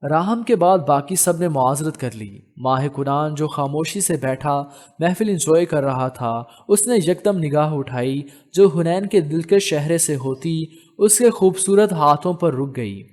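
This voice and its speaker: A male audiobook narrator with a fairly high voice reads a romantic novel. His delivery is measured and literary, like scripted storytelling. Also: animated, a little mellow.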